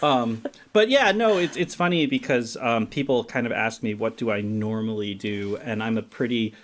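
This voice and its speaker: A man's voice: medium pitch, moderate pace. Goofy laughing man telling stories. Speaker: podcast guest, filmmaker. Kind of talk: casual chat.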